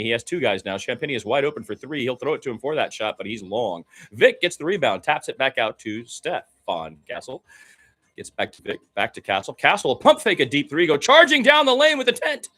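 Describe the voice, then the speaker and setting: Guy doing radio basketball play by play, loose and opinionated, gets worked up on the big calls, normal pitched voice. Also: a little fast. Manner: excitable, swinging from low asides to loud calls; informal, opinionated, mildly profane. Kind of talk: live radio play-by-play commentary.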